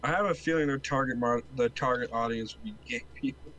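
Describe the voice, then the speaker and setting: A man's voice: mid-range voice, fairly quick, a bit bright. A man bantering casually during a gaming session, needling his friends in a teasing, foul-mouthed way. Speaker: co-player on a game stream. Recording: clear background noise, game vehicle sounds.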